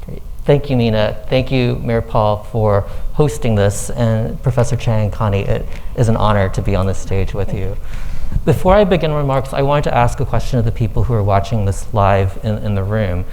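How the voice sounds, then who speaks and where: A man born in Thailand, a foundation director, giving an earnest, measured presentation with slides to a live audience in a room. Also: lowish voice, darkish tone, a little hiss or hum, low-quality recording.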